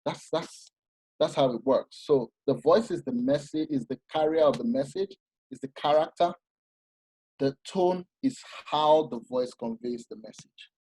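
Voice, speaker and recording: A man giving an informal masterclass talk, animated and explanatory, often restarting his sentences. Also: a little high, measured pace, breathy edge, no room sound, low-quality recording. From Nigeria. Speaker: masterclass speaker.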